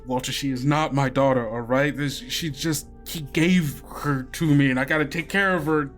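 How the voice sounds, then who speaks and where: A man's voice: mid-range voice, moderate pace, fairly bright tone, soft background music, lightly acted. A man playing a weary, guarded, folksy small-town sheriff in a tabletop roleplay game. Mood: defensive. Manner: folksy, colloquial.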